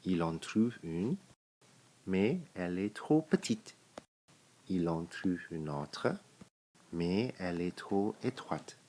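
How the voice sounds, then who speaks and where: A man with a very deep voice reads a picture book to children. He takes it very slowly, phrase by phrase, leaving deliberate pauses. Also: lively intonation, mellow. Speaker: storybook reader.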